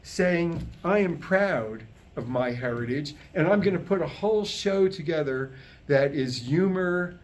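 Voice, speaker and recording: A man's voice: on the high side, lively intonation, measured pace. A man acting as emcee, giving an informal introduction on stage at a live staged reading. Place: live staged reading before a small audience. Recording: noisy live room recording with clicks.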